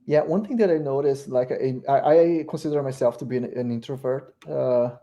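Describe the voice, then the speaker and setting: A man's voice: mid-range voice, a little fast, dark tone. A man with a Portuguese-speaking accent hosting an interview, speaking English as a second language and hesitating with many fillers. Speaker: podcast host. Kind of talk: casual interview chat.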